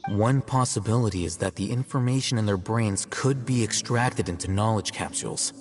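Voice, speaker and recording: A man playing a calm, detached young scholar in a video game, explaining things in measured, articulate lines. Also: lowish voice, in a large hall, faint music behind, lightly acted. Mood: cool, analytical. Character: calm, coolly analytical young male scholar and scribe. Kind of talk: video game voice acting, scripted dialogue.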